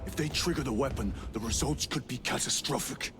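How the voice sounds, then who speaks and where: An actor playing a grave, resolute veteran space marine lieutenant in a game, with clipped commanding delivery. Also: male voice, medium pitch, a little fast, music in the background, natural voice. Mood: grave, urgent. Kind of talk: cinematic game dialogue.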